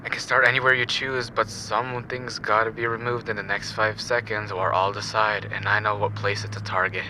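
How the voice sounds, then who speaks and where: A man acts out a sadistic killer in an audio drama. His low voice has a very hard, bright edge, and he delivers threats with cold, taunting amusement. There is clear noise behind him. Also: level tone, moderate pace, rough recording. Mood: cold menace. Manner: menacing, taunting, matter-of-fact.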